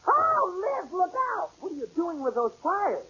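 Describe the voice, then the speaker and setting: An actor playing an impatient, sarcastic husband in a 1940s radio comedy, exasperated and given to disbelief. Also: male voice, very high-pitched, huge pitch swings, a little slow, pushed and bright, full character voice. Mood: exasperated, alarmed. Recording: dull old radio recording.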